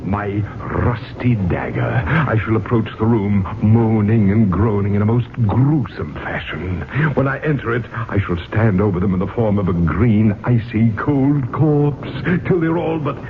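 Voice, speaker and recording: An actor playing a proud, theatrical old English ghost husband, declaiming grandly in a 1970s radio drama. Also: male voice, fairly low pitch, animated. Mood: gleefully scheming. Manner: pompous, declamatory, drawn-out dramatic delivery. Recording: muffled, noisy old radio broadcast.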